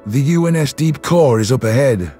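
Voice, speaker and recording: A man's voice: medium pitch, lively intonation, normal speed, warm tone, faint music behind, close to an everyday voice. A man voicing an amused, easygoing human soldier in a sci-fi audio drama. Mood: amused, teasing. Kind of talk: audio drama character dialogue.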